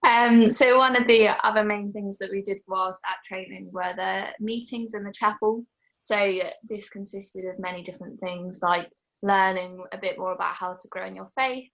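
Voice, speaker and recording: An eighteen-year-old woman from England giving a casual, chatty group presentation about her gap year, pausing now and then to search for words. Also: mid-range voice, gravelly, a bit bright, low-quality recording. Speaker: gap-year team member.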